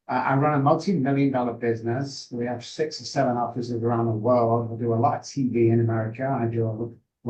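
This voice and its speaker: A man with a Manchester accent, a guest on an interview, talking in a brisk, emphatic way from a home office over a video call. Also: mid-range voice, darkish tone. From England. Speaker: guest, addiction specialist. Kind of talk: conversational interview. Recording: distant from the mic.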